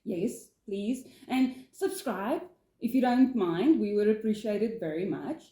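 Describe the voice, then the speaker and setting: A woman's voice: medium pitch, a little slow, warm tone. A woman from South Africa, not a native English speaker, chatting casually as a vlog co-host in a giggly, bubbly way with hesitant fillers. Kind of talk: casual chat. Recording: echoey room.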